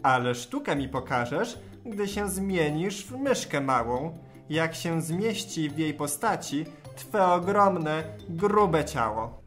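An actor voicing a clever talking cat in a rhymed fairy-tale audio drama, polite and coaxing in deliberate verse. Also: medium pitch, very animated, faint music behind. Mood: sly coaxing. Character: clever, courteous, cunning talking tomcat in boots.

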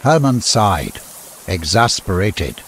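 A man's voice: on the low side, sing-song, slow pace, slight background noise. A man narrating a fantasy audiobook in a scripted, dramatic storytelling style. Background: music.

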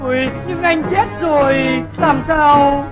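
High, whining cowardly gambler. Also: male voice, fairly even intonation, takes it slowly, lo-fi sound, over music. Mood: frightened begging. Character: cowardly, greedy male gambler turned showman. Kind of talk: old animated folktale film dub.

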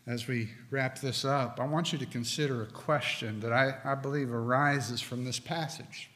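A middle-aged man preaching a sermon from a pulpit, slow and deliberate with emphatic pauses. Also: medium pitch, fairly even intonation, fairly bright tone. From United States. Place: church sanctuary with stone wall, at a pulpit. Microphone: pulpit microphone. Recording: long hall reverb.

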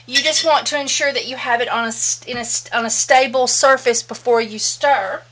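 A woman's voice: a little high, fairly even intonation, moderate pace, a bit bright. A middle-aged woman with a Southern US accent, chatty and folksy, demonstrating soapmaking at home and calling her viewers "y'all.". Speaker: soapmaking instructor. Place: home soap room, indoors.

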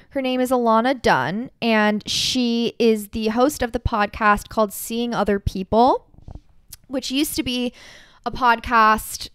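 A woman's voice: on the high side, a bit bright, clear, resonant tone, dry recording. Upbeat young woman hosting a podcast. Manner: upbeat, leads with questions. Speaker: podcast host. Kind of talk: casual podcast chat.

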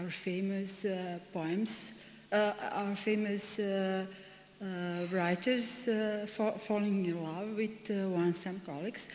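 A middle-aged woman from Serbia, a librarian, telling a short story at a podium in halting English with many hesitations. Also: medium pitch. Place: large conference hall stage. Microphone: podium microphone. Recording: echoey hall.

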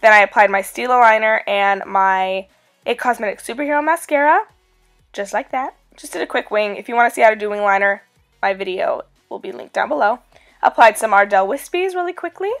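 Raspy-voiced woman getting over a cold. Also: on the high side, brisk, fairly bright tone, soft background music. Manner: chatty and upbeat. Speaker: beauty vlogger. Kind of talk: makeup tutorial voice-over.

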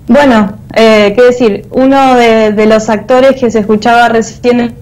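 Higher-voiced Argentine woman on video call. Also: steady tone, measured pace, darkish tone, poor audio. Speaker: guest, theatre artist and teacher. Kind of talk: interview answers, conversational. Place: indoor room, over video call. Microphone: earphone mic on a video call.

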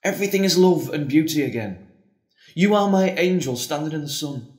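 Earnest, higher-voiced priest played by an actor. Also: male voice, expressive, moderate pace, darkish tone, natural voice. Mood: tender, earnest. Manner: earnest, solemn. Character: John, devout, tender, doubting priest facing the end. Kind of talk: audio drama acting. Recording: echoey, roomy sound.

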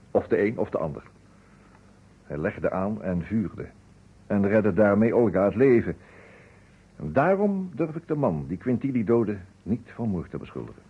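An actor in an old Dutch radio play playing a shrewd detective who lays out his case in a measured, commanding way. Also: on the low side, big pitch swings, breathy edge, exaggerated voice. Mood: stern, deliberate. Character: shrewd male amateur detective laying out a murder case. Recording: dull old radio recording.

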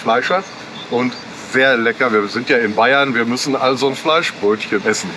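A man's voice: medium pitch, moderate pace. A man talking casually while he drives a car, with hesitant ums between thoughts. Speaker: car vlog co-host, driver. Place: inside a moving car. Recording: loud road and engine noise.

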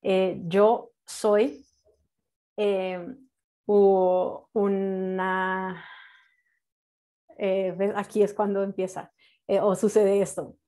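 A young Colombian woman whose first language is English leads an online class. Her voice is soft-edged and relaxed at a medium pitch. She explains informally and encouragingly, pausing to search for words and check that students follow. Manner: encouraging, halting with frequent check-in questions. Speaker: medical English teacher and nurse. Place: indoors, on a video call. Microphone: video call microphone.

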